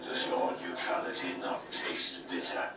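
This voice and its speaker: An actor playing a cold, ancient wraith king in a video game, speaking in breathy, slow, ominous and grandiose pronouncements. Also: lightly acted. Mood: accusing. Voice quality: breathy, whispery. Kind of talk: dramatic video game cutscene acting. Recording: echoey, distant, noisy game audio playback.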